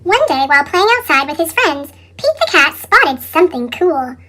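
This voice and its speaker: A woman's voice: very animated, moderate pace, very noisy. A woman reading children's picture books aloud expressively, her voice sped up with a chipmunk effect. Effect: sped-up chipmunk pitch effect. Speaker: storybook reader.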